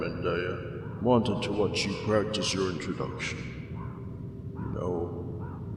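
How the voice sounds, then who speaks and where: A man playing a proud, doting old dark emperor who talks like a rambling, sentimental father in an audio roleplay. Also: low-pitched. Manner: rambling, sentimental dad talk. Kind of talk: scripted ASMR roleplay acting. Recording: echoey hall, distant, noisy ambience.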